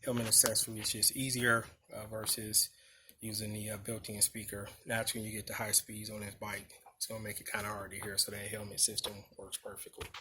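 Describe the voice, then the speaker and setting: A man with a fairly low voice gives a casual, unscripted gear walkthrough. His delivery stays very flat and level as he explains things informally. Clear background noise runs behind him on the handheld video recording. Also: brisk. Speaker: motorcycle vlogger showing his gear.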